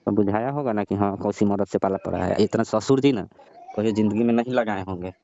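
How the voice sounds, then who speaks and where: A man plays a boastful young son-in-law who keeps pressing with blunt, needling questions. His voice is very low and dark. The sound comes through a dull, lo-fi phone call recording. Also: a little fast, close to an everyday voice. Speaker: comedy call actor. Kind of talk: staged comic phone call.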